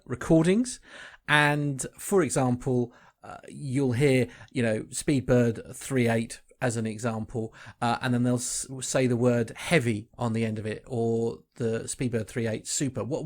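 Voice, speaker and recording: Bloke hosting a podcast, normal mid pitch, chatty questions. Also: male voice. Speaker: podcast host.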